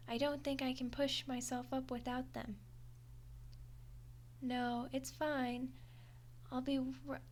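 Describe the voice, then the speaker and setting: A woman's voice: sits high, slight background noise. A woman voicing a friendly, awkward undead skeleton girl in an audio roleplay, chatty and hesitant with a wry, defensive streak. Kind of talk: audio roleplay monologue to the listener.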